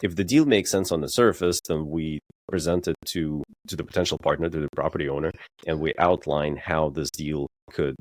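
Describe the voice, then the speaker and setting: A man's voice: extremely low pitch, a little fast, dry recording. A man being interviewed as a guest, explaining things plainly and casually with frequent "uh" fillers. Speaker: guest, real estate developer.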